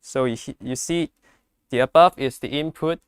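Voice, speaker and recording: A man with a mid-pitched, very clear voice demonstrates products in a dry, close recording. He is a non-native English speaker who explains step by step, halting and repeating phrases as he goes. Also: dry recording. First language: not English. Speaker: product presenter.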